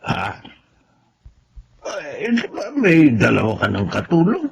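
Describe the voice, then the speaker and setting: An actor playing a soft-hearted, trusting older brother in a Filipino radio drama. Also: male voice, fairly high pitch, wildly swooping pitch, slow, gravelly, dull audio, a crowd in the background, full character voice. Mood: sympathetic.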